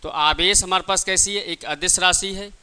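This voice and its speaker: A man's voice: high voice, steady tone, normal speed, pushed and bright, clear, resonant tone. A man teaching a physics lesson for exam students, didactic and repetitive, asking questions and answering them himself. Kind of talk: classroom exam-prep lesson with rhetorical questions.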